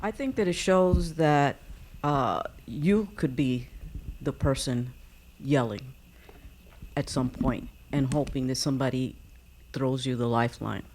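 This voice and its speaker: A woman giving a reflective comment as an audience member, with halting, deliberate phrasing. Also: a bass voice, darkish tone, slight background noise.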